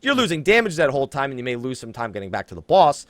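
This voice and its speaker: Animated man hosting a gaming podcast. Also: medium pitch, very fast, dry recording. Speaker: podcast host. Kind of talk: casual gaming podcast discussion.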